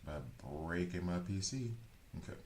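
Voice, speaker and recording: A man's voice: sits low, unhurried, a little mellow, a little hiss or hum. A man streaming a game and chatting casually, rambling, restarting sentences and correcting himself as he thinks aloud. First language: English. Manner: talks things through as he thinks. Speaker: gaming streamer.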